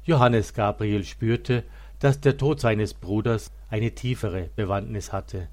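A man reading a scripted religious biography as narrator in a solemn, measured manner. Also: on the low side, a little mellow.

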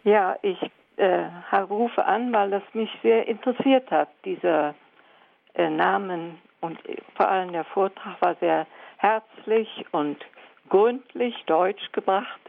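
Older nun with a gravelly phone voice. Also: female voice, medium pitch. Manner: halting, searching for words. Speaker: nun calling in. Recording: tinny phone line.

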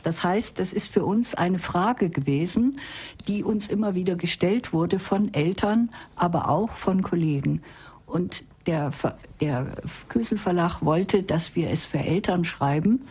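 Very husky, low-toned woman. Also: measured pace, darkish tone. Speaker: expert guest, teacher and book co-author. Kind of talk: explanatory radio interview talk.

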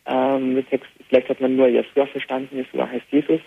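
A young man, a native Arabic speaker with an Austrian German accent, answering a radio interview by phone in an easy manner with many filler pauses. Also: mid-range voice, completely flat delivery, vocal fry, husky. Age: young adult. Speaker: interview guest, band musician. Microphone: telephone. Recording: tinny phone line with faint hiss.

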